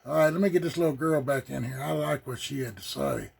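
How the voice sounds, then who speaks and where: A man's voice: on the high side, fast, creaky, slightly rough. A man giving casual, folksy reaction commentary as a video host. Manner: folksy, interjecting agreement.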